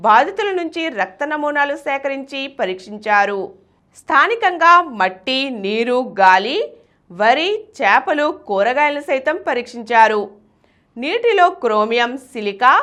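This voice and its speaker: A woman's voice: high voice, a bit bright. A woman reading scripted regional news. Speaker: news reader.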